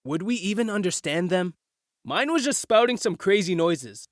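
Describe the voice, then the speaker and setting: A man's voice: sits high, animated, normal speed, no echo, studio quality. A man playing a brash, impatient teenage boy who leads his group in an audio drama, blunt and incredulous.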